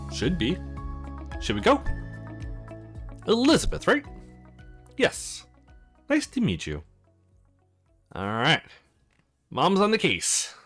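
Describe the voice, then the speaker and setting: Man with swooping, highly animated pitch. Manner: acts out characters' lines with exclamations. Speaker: let's play host. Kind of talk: reading visual novel dialogue aloud with casual commentary.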